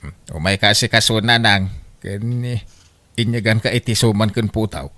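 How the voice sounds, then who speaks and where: A man's voice: lowish voice, steady tone, moderate pace, sharp tone. A man playing a grumbling, competitive middle-aged youngest son in an Ilocano comedy radio drama. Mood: coaxing.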